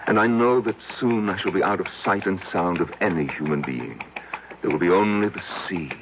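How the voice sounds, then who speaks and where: A noisy old radio recording carries a solitary, middle-aged recluse. His voice sits low, and he confesses in a halting, deliberate, obsessive way, in the manner of a 1970s radio play. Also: highs cut off. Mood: rapt, yearning. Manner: halting, deliberate, brooding monologue. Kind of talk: 1970s radio drama acting.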